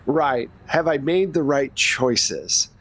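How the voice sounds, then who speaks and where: A man's voice: mid-range voice, animated, takes it slowly, fairly bright tone. A man narrating a tabletop game as game master, improvising in a casual, conversational way.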